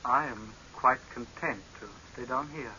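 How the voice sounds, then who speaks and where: Vintage radio saboteur: young, calmly vengeful, very sharp tone, deliberate taunts, dull hissy recording. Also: male voice, mid-range voice. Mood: mocking, cold. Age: young adult. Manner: deliberate, measured, sardonic, menacing. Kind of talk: 1930s radio horror drama acting.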